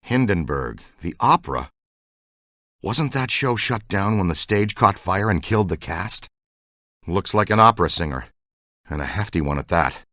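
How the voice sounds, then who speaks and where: A man voicing a brisk, wry member of a ghost-catching team in a video game. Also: sits low, big pitch swings, a bit bright, no room sound, studio quality. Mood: low-key, wry. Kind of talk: video game character lines.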